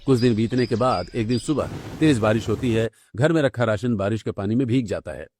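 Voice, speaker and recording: A man's voice: medium pitch, fairly quick, darkish tone, slight background noise. A man delivering scripted narration for a cartoon story.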